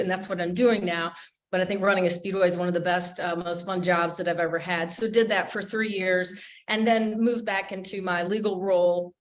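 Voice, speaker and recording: A middle-aged woman from Michigan, a motorsports lawyer talking through her career on a panel in a rambling, chatty way. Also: fairly low pitch. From Michigan, USA. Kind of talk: panel interview, recounting career.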